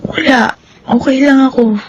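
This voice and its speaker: An actress in a Filipino radio drama playing a worried, lovestruck younger sister, speaking hesitantly and pensively. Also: female voice, high voice, steady tone, unhurried. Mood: subdued. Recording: dull radio sound, faint noise.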